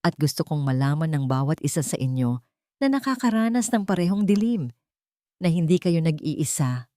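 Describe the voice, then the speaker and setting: A woman narrator acts out a young mother and survivor in an audio drama. Her voice is low and lively in pitch, steady and sincere. The sound is dry and close. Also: moderate pace, no room sound. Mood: resolute, hopeful. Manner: clear, steady, earnest. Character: resilient young mother, survivor turned earnest advocate.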